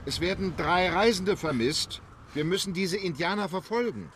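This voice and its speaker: An actor playing a composed, resolute English gentleman adventurer in an audio drama, speaking formally and politely. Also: sits high, moderate pace, crowd noise, lightly acted. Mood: reassuring, determined.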